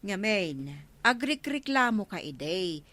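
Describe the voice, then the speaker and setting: A woman's voice: mid-range voice, sing-song, slow pace, a bit bright. An actress playing a gossipy, exclaiming village woman in an Ilocano radio comedy drama, with an Ilocano accent. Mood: egging on. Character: lively gossipy village woman, eagerly egging others on.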